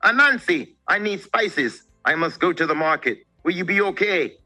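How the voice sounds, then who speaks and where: A man's voice: high voice, animated, normal speed, sharp tone, soft background music, pitched above the performer's own voice, full character voice. A man voicing a caring but stern elderly grandmother spider in a children's storybook. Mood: caring, firm.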